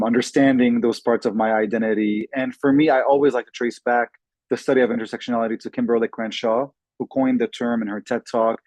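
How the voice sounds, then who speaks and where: A man's voice: mid-range voice, a little mellow, no echo. A man from Lebanon introducing himself as a panelist over a video call, fluent and listing, with occasional ums. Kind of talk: self-introduction on a webinar panel.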